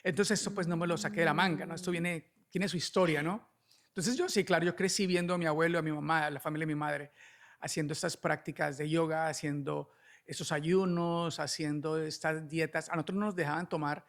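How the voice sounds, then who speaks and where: Gravelly, higher-pitched Colombian man. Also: steady tone, brisk, fairly bright tone. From Cali, Colombia. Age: middle-aged. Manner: frequent checking tags like "¿no?". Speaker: podcast guest, alternative medicine doctor. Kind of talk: casual conversational explaining.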